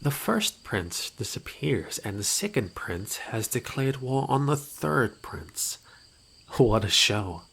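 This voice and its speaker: A man playing a mocking, theatrical rival assassin in a fantasy audio drama, with a husky voice and sardonic mock courtesy. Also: mid-range voice, sing-song, slow, fairly bright tone, exaggerated voice. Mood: smug, amused.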